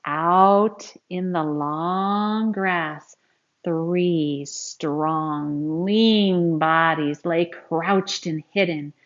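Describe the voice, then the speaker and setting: A woman reading a picture book aloud to young children in an expressive, teacherly way, speaking to them directly as she goes. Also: fairly low pitch, extremely slow, highs cut off. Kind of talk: read-aloud storytelling with asides to young listeners.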